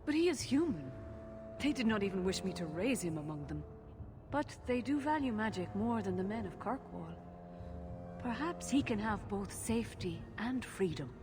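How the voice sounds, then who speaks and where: A woman's voice: mid-range voice, very animated, normal speed, music in the background, lightly acted. An actress playing an earnest, worried mother in a fantasy game, with formal phrasing. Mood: startled, hopeful. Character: earnest, worried human mother of little means. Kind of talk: cinematic fantasy game acting. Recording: clear ambient noise behind.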